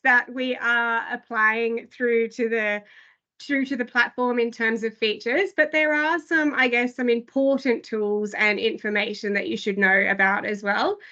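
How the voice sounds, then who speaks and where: A woman with a fairly high, slightly hoarse voice walks listeners through new platform features. She talks in loose, hesitant runs, leaning on "I guess" and "um". The sound is dull and lo-fi, as over a video call. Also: steady tone, unhurried, a bit bright. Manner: filler-heavy, frequent "I guess" and "um". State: losing her voice. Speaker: product presenter. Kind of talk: online product briefing.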